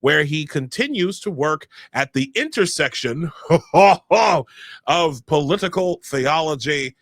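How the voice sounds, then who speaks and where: Bright, lively young male show host. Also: on the high side, slow, no room sound, clean studio sound. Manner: energetic, heavy on filler sounds. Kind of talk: live podcast hosting.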